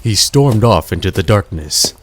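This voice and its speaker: A man narrating a scripted adventure story in a measured, dramatic storybook manner. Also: lowish voice, lively intonation, slight background noise.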